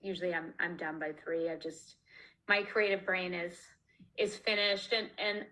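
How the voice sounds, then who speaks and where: Bright, chatty woman author on a call. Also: on the low side, steady tone, normal speed, poor audio. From Canada. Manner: chatty, laughs often, many fillers and restarts. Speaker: author being interviewed. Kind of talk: casual conversational interview. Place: home, online video call. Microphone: video call.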